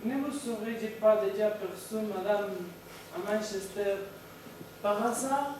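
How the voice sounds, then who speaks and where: A student with a Spanish accent playing a courteous, puzzled English gentleman on stage, speaking slowly. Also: mid-range voice, natural voice. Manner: slow, courteous, with repeated puzzled remarks. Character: polite puzzled Englishman, Mr Martin. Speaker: language student actor. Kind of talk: amateur stage acting of an absurdist play. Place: large echoey hall on stage. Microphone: far room mic. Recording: echoey hall from far off, noisy.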